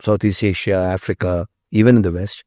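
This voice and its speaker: A man's voice: low-pitched, fairly even intonation, a little fast, darkish tone, dry recording, clean studio sound. A middle-aged man from India, a Tamil speaker, talking about his company as a guest with earnest, emphatic delivery. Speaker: biotech company CEO, guest. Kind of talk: conversational interview.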